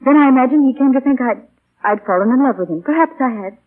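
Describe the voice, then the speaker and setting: A woman's voice: high voice, lively intonation, fast. Lovelorn young woman, tinny radio. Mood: wry, resigned. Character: lovelorn, proud young actress, tender and brave. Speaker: radio actor. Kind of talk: 1940s radio drama acting. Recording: thin, band-limited 1940 radio broadcast.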